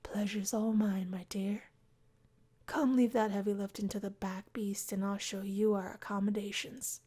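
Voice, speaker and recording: A woman voicing a charming, gallant young male bassist in a visual novel, speaking low in a breathy, half-whispered way. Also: level tone, moderate pace, dark tone, natural voice. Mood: suave.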